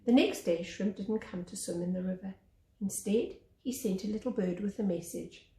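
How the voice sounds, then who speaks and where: A woman reading a children's story aloud as a teacher, with expressive narration. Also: medium pitch, normal speed. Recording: echoey room.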